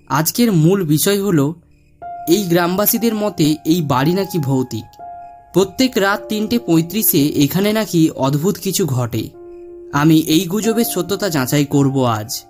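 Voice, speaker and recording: A man voicing an earnest male reporter from a paranormal TV show in a horror cartoon, speaking in a hushed, measured way. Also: fairly high pitch. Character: earnest male TV ghost-show reporter, sceptical, addressing viewers. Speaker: TV reporter presenting. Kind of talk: piece to camera in an animated horror story. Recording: long hall reverb, music underneath.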